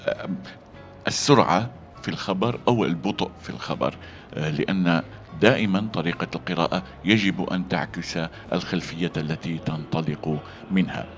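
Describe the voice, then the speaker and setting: A man teaching a voice-over lesson, halting with fillers and restarts between read-aloud demonstrations. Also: sits low. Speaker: voice acting coach. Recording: dull lo-fi sound with faint music.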